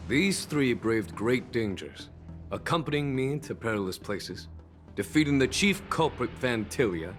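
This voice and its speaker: A man voicing a courteous, dignified general with a formal manner in an anime-style video game. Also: on the low side. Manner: formal, measured.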